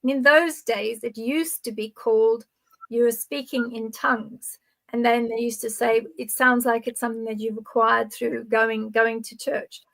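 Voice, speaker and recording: A woman's voice: on the high side, level tone, normal speed, creaky, a bit bright, low-quality recording. An older woman in her sixties or beyond, talking conversationally to live viewers as a spiritual guide, explaining things in an encouraging way. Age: 60s or older. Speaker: podcast guide speaking on spiritual topics.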